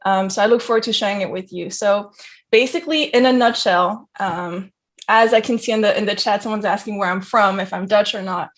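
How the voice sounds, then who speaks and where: A woman's voice: mid-range voice, steady tone, a little fast. A young woman from Geneva, Switzerland, giving an informal autobiographical talk in an effusive, conversational manner peppered with filler phrases. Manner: conversational, effusive, frequent "like" and "you know". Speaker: guest speaker, crypto entrepreneur.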